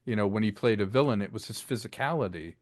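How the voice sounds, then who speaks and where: A man's voice: fairly low pitch, quick pace, dry recording, clean studio sound. A man talking casually and enthusiastically as a writer and director being interviewed about his work. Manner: chatty, enthusiastic, acts out bits of dialogue.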